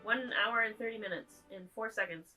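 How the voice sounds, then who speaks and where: A woman's voice: mid-range voice, a little fast, bright, forceful tone, low-quality recording. A woman co-hosting a casual movie-watching chat, joking as she narrates the plot aloud. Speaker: co-host. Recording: piano music behind.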